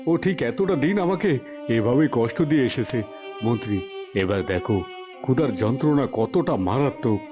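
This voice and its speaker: A man's voice: medium pitch, animated, moderate pace, music in the background, close to an everyday voice. A man voicing a devout, long-suffering cartoon king and father, emotional and emphatic in his melodrama. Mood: vengeful. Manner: emotional, emphatic, repeating phrases.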